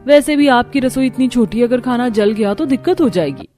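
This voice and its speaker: Lady doing fussy rich younger daughter-in-law, complaining housewife, highish voice, cartoon story with music under it. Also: expressive, fairly quick, mellow, natural voice. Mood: needling. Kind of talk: cartoon family story dialogue. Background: background music.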